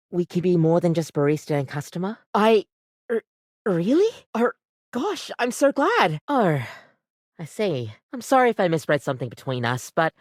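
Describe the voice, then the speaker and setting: A woman voicing a living coffee maker in a video game, an earnest, bubbly, chatty barista with an expressive, rambling way of talking. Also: fairly low pitch, dry recording, studio quality, natural voice. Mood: tender, nervous. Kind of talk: scripted video game voice acting.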